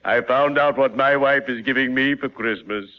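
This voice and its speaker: An actor playing a courtly, morbidly cheerful undertaker in a 1940s radio comedy, speaking in a mournful, formal way. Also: male voice, mid-range voice, close to an everyday voice. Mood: solemnly genial. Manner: mournful, drawn-out, formal delivery. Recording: muffled 1940s radio recording.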